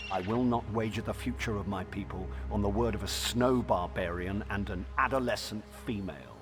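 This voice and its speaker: An actor playing a proud, suspicious human king in a fantasy game, speaking in a measured, regal and disdainful manner. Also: fairly low pitch, music in the background, lightly acted. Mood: scornful. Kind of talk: fantasy game dialogue acting.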